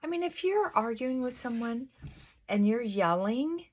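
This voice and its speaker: A middle-aged woman chats slowly and thoughtfully while getting ready in the morning. Her fairly high voice is held down on purpose, and she drops into soft whispered asides. She speaks in a confessional, reflective way. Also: lively intonation. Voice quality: fairly high-pitched voice she works to pull down. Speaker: vlogger. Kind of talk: casual get-ready-with-me chat.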